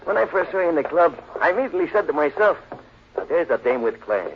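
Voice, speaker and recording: An actor playing a thin, excitable little American club owner, eager and stammering, in 1940s radio crime drama style. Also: male voice, fairly high pitch, fast talker, slight background noise. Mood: eager, flirtatious. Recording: muffled old radio broadcast.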